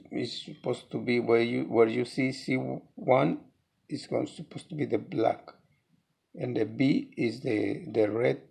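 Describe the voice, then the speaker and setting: Very creaky-voiced man giving a halting DIY demonstration. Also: mid-range voice, a little mellow. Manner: halting, repeats words while working. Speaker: DIY tutorial presenter. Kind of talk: hands-on how-to demonstration, unscripted. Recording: clear background noise with clicks.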